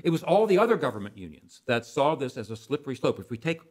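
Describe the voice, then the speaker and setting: A man's voice: mid-range voice, big pitch swings, fast talker. An older man speaking as an expert guest in an interview, explaining in a conversational way and repeating phrases for emphasis.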